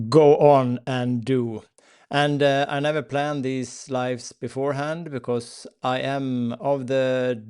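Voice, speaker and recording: A man with a Swedish accent hosting a spiritual live stream, talking slowly and hesitantly with many fillers and pauses. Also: mid-range voice, a little mellow. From Sweden. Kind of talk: unscripted spiritual talk.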